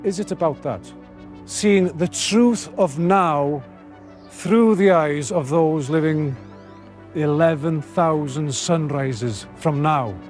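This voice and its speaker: A man delivering scripted, poetic narration in rhetorical, measured phrases. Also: a little high, a little mellow, soft background music.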